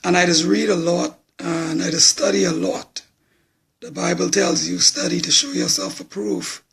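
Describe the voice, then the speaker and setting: A hoarse man in his forties with a Bahamian accent, talking bluntly and plainly to viewers on a live social media stream. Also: high voice, normal speed, fairly bright tone. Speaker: political supporter.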